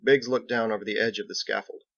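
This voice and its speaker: A man's voice: on the low side, fairly even intonation, fast, gravelly, a bit bright, no echo, dull audio. A man reading scripted audiobook narration as the author of the story. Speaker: author narrating his own book.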